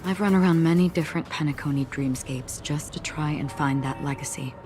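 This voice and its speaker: A woman performs a mysterious female wanderer from a video game. Her voice sits low for a woman and stays calm, soft-spoken and reflective. Music plays faintly behind her. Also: a little fast, lightly acted. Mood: quiet, earnest.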